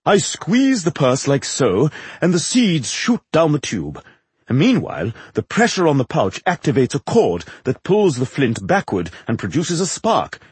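A man's voice: on the high side, wildly swooping pitch, a little slow, slightly rough, no echo, voice pushed up, exaggerated voice. A man voicing a pompous, flamboyant actor with an exaggeratedly aristocratic, wordy delivery in a fantasy audiobook. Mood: proud, showy. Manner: grandiloquent, wordy, showy.

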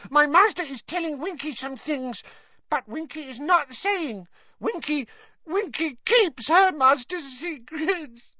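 Man putting on a shrill sobbing female house-elf, squeaky, wailing, very high, loud, dry lo-fi sound. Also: slow, no room sound, full character voice. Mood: distraught. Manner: broken grammar, sobbing outbursts. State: crying. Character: tearful old-fashioned female house-elf servant, shrill and devoted.

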